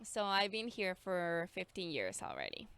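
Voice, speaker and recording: A woman's voice: fairly low pitch, huge pitch swings, normal speed, creaky, a bit bright, clear, resonant tone. A woman in her twenties from Mexico with a Mexican accent, chatting casually as a podcast guest and laughing easily. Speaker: guest, teacher.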